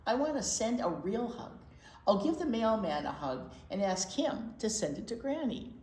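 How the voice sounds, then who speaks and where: A woman's voice: medium pitch, very animated, moderate pace, darkish tone, roomy sound, close to an everyday voice. A woman reading aloud as an eager, polite young boy pig in a children's storybook.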